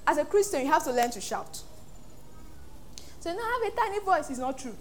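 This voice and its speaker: Animated Nigerian woman pastor preaching. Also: sits high, fairly quick, slight background noise. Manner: animated, acts out voices and laughs often. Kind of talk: sermon.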